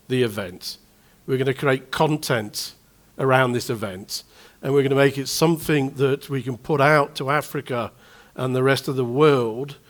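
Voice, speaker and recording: Manchester-accented man, middle-aged, press conference speech, jokey, self-deprecating, mid-range voice. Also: mid-range voice. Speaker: event promoter at a press conference.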